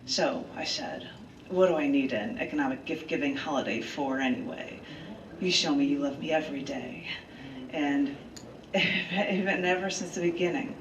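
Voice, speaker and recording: A woman poet hosting an open mic, reading aloud live with dramatic, rhetorical delivery and asides to listeners. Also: sits low. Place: large bookstore, indoors, live event. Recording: roomy live room, distant mic, clear noise.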